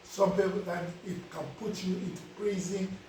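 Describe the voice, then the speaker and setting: A man's voice: sits high, little pitch movement, normal speed, a little mellow, distant mic, slight background noise. Nigerian man in a very echoey space. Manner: preachy, repeats "you understand?". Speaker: vlogger giving life advice. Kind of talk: casual advice monologue. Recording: very echoey, voice away from the mic.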